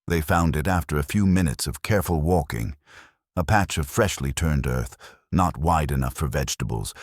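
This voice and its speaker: A man narrating a scripted mystery story in a measured, literary manner with a wry touch. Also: very deep voice, dry recording, clean studio sound.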